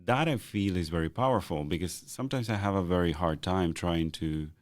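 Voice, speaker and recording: Man, very deep booming bass, reflective, halting restarts, dry close sound. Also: no echo. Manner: thoughtful, halting with repeated starts. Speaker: podcast co-host. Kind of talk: reflective conversational chat.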